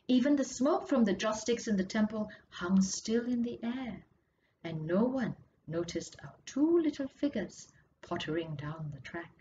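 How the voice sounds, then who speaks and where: A woman narrates a story in a measured, dramatic way. Her voice sits at a medium pitch and is expressive, as if reading from a book. The recording is slightly dull, with clear noise behind the voice. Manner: measured, dramatic, drops to hushed tones for suspense. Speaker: author reading their own story. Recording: muffled audio with clear background noise.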